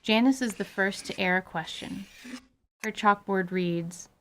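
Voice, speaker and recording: Female storyteller for fantasy radio theatre, measured delivery of literary scripted narration. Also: medium pitch, fairly bright tone, a little hiss or hum.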